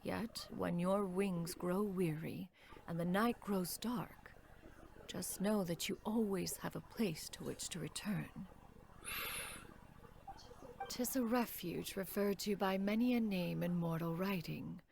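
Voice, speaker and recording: Regal crane immortal game mentor, formal old-fashioned speech, creaky voice, bit of noise behind. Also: female voice, fairly low pitch, takes it slowly, a little mellow. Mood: gentle, wise. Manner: formal, archaic, refers to self as "one". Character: dignified immortal crane adeptus, stately female mentor. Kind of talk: scripted game character dialogue.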